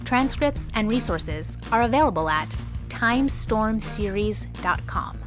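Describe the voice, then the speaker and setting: A woman's voice: mid-range voice, lively intonation, unhurried. A woman reading scripted credits and announcements as the host of a children's podcast. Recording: clear music bed under voice.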